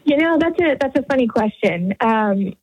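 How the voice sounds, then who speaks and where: A woman in her thirties with an American accent, giving an earnest, halting personal account as a radio interview guest. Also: fairly high pitch, lively intonation, darkish tone, husky. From Houston area, Texas, United States. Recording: narrow phone-like line, roomy echo.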